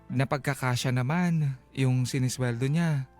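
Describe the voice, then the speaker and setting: A man with a medium-pitched voice hosts a radio advice program. He interviews callers in a conversational style, asking plain questions. Music plays behind his voice. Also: measured pace, a little mellow. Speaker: radio advice show host. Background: background music.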